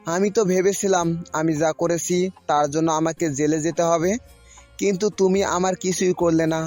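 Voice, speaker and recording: A man's voice: fairly high pitch, fairly even intonation, normal speed, a little mellow, soft background music, close to an everyday voice. A man playing a humble, remorseful young man in an audio story drama. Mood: apologetic.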